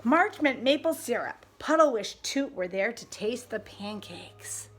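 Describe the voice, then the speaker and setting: A woman reading a picture book aloud to a child in an expressive, sing-song storytelling way. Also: a little high, a little slow. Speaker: parent reading along with child.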